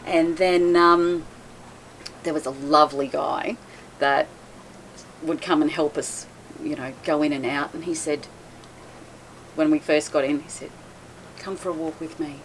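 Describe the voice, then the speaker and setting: Low-voiced woman telling boat stories. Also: a little slow, pauses often, slight background noise, low-quality recording. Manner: chatty, laughs often, lively retelling. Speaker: guest boat owner. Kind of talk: casual storytelling chat.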